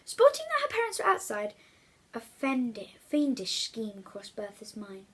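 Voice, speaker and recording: Female children's story reader, higher pitch, bright forceful tone, expressive delivery. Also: a little slow, slightly rough. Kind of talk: expressive read-aloud storytelling for young children.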